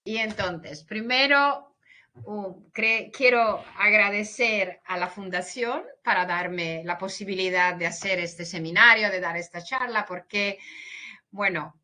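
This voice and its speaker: A woman's voice: mid-range voice, measured pace, bright, forceful tone. A woman giving a veterinary webinar lecture over a video call, speaking hesitantly and restarting words. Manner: hesitant, restarts words, mixes in English and Portuguese.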